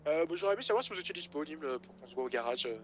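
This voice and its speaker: A man with a slightly high voice speaks extremely fast and politely, as a caller in a game role-play. There is clear hum behind him. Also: expressive, highs cut off. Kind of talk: polite in-character phone call.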